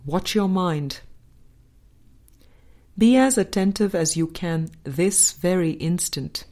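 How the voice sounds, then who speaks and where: A woman with a slightly low voice reads a spiritual book as scripted narration. Her pace is very slow and deliberate. She leaves measured pauses between phrases. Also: mellow. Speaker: audiobook narrator.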